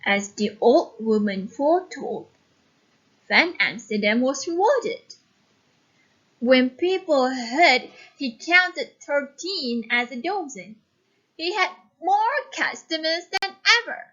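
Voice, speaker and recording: A woman teacher reading a story expressively and giving lesson instructions with clear, instructive phrasing. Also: sits high, animated, takes it slowly, a bit bright, muffled recording.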